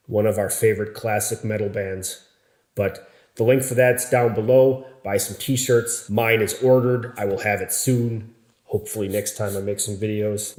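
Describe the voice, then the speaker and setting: An American man chats casually to camera, slow and deliberate, each word laid out clearly. His voice sits at an everyday middle pitch, and the sound rings a little in a roomy space. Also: darkish tone. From United States. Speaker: vlogger. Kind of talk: casual vlog talk to camera. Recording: echoey room.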